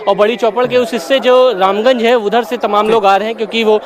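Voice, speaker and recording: A male news reporter speaks in a high-pitched voice, reporting live from a street. A crowd is clearly audible around him as he delivers running commentary for television. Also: fairly even intonation, fast. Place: outdoors on a crowded city street. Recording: loud crowd noise around voice.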